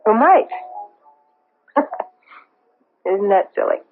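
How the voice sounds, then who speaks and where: A woman's voice: medium pitch, lively intonation, extremely slow, a little hiss or hum. An actress playing a middle-aged socialite hiding her past in a radio drama, hesitant, anxious and defensive. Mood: nervous, probing. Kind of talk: 1970s radio drama acting. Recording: tinny, band-limited old radio broadcast.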